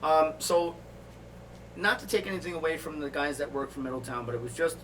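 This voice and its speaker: A man's voice: fairly high pitch, fairly quick, a bit bright, recorded from a distance, poor audio. A man, a firefighter, talking as a podcast guest, telling a personal story in a candid, reflective, casual way. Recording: off-mic with clear background noise.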